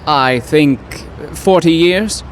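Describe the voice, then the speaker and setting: An actor playing an earnest, awkward poetry professor, flustered and florid, in old-time radio drama style. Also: male voice, a little high, slow pace, a little hiss or hum. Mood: nervous, eager.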